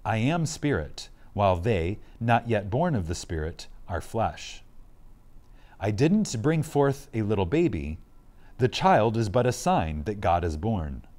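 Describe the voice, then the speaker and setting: Slow, expressive man reading solemnly. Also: lowish voice. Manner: solemn, emphatic, with deliberate pauses. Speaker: reader of a spiritual lecture. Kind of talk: reverent scripted reading of a lecture.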